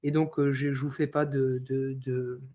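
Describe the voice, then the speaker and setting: A man in his thirties from France, a guest sharing his life story in a live online talk, speaking with many pauses and restarts. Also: medium pitch, level tone, mellow, dull audio. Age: 30s. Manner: hesitant, many fillers and restarts.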